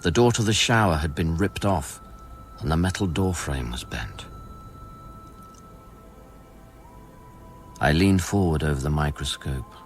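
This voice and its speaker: Brooding man, very deep voice. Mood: absorbed, grave. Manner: measured, intimate, hushed. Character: brooding, guilty man soothing his troubled lover. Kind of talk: radio drama acting with introspective narration.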